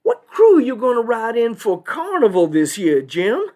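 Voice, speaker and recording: A man's voice: very high-pitched, wildly swooping pitch, unhurried, a little mellow, voice pushed up, exaggerated voice. Man putting on a drawling, pompous old-boy judge. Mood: jovial, clubby. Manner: drawling, incredulous, clubby.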